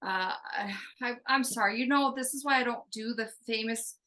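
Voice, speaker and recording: A woman medium with a bright, slightly high voice relays a spirit's answers in a loose, casual way. She hesitates, restarts and fills gaps with "like" and "you know." She speaks of the spirit in the third person. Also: normal speed.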